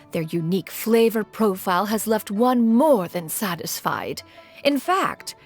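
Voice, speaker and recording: A woman voicing a poised, formal immortal who talks in archaic phrasing. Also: mid-range voice. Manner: formal, archaic phrasing, refers to herself as one. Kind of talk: video game voice acting.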